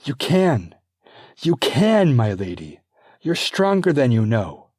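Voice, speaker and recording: A man's voice: mid-range voice, big pitch swings, very slow, darkish tone, husky, no room sound, studio quality, voice pushed up, heavily acted. A man voicing a female midwife who is firm and coaxing. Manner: coaxing, commanding.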